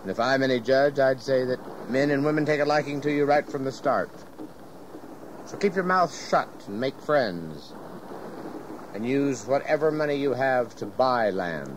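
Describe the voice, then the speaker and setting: An actor playing a jovial, garrulous, folksy ship captain in an audio history drama. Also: male voice, mid-range voice, animated, moderate pace, lightly acted, rough recording. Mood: advising. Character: hearty, cantankerous-sea-loving ship captain, folksy and fatherly. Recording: clear background noise of ship ambience.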